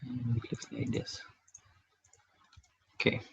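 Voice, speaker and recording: A man from Hungary, speaking English with a Hungarian accent, talks through a live drawing tutorial, thinking aloud in a halting way as he works. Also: mid-range voice, completely flat delivery, vocal fry, noisy background. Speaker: digital artist streaming his work.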